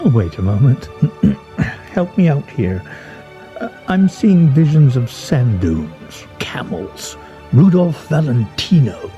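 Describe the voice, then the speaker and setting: Man acting a sardonic pompous husband in a radio play, slow and swoopy, theatrical wry delivery. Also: medium pitch, big pitch swings, dark tone, dull audio, soft background music. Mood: dry teasing. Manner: theatrical, wry, mock-formal. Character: pompous, sardonic husband at a weekly scrabble game.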